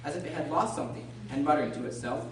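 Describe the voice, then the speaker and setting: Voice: medium pitch, racing, natural voice. A narrator reading a scripted story for a radio drama. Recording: echoey room, distant mic, faint noise.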